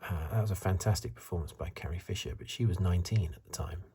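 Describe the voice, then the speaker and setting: A man giving soft-spoken, partly whispered ASMR review commentary in a breathy, hesitant, musing way. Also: deep voice, quick pace, a little hiss or hum. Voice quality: breathy, hushed. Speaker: host of a film review video.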